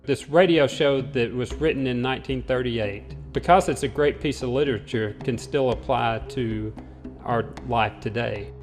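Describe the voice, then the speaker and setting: A man's voice: medium pitch, moderate pace, faint music behind. A man from a community theater talking reflectively in an interview. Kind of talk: interview talk.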